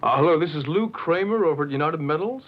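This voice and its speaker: A measured, firm office manager. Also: male voice, on the high side, expressive. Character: calm office manager querying a bill. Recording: muffled film soundtrack.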